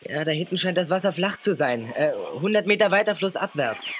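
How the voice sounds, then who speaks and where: A man's voice: high-pitched, lively intonation, fairly quick, lo-fi sound. An actor playing an easygoing, good-natured young man in a children's radio play, talking casually. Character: easygoing young man driving a safari jeep. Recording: noisy tape with birdsong behind.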